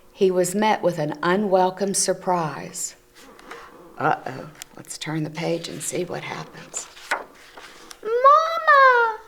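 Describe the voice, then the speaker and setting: A woman reading a picture book aloud to children, gently explaining the pictures as she goes. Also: fairly low pitch. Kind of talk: read-aloud storytelling for children. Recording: community TV recording.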